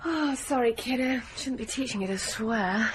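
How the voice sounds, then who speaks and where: A woman's voice: on the high side, moderate pace, slight background noise, lightly acted, rough recording. An actress playing a young, wry, chatty expectant mother in a naturalistic radio drama. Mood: playful, chatty. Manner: chatty, sardonic.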